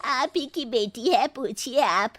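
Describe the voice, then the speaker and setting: A woman's voice: high-pitched, slow, no echo, faint music behind. A woman voicing a fussy, well-off middle-aged mother-in-law in a dramatic cartoon moral story. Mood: exasperated.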